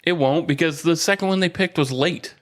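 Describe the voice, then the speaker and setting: A man's voice: a little high, a little fast. A man co-hosting a sports podcast, chatting and bantering casually about sport.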